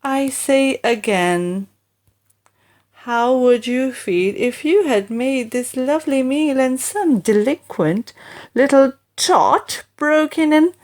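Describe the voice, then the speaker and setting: A woman reading children's verse aloud in an expressive, theatrical, deliberate storytelling style with emphatic delivery. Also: sits high. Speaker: audiobook reader.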